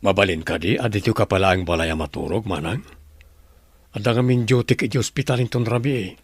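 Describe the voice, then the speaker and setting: A man's voice: fairly low pitch, fairly quick, slightly rough, dry recording. A man playing a courteous, inquisitive doctor and master of the house in a radio drama, with an Ilocano accent. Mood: polite, inquisitive.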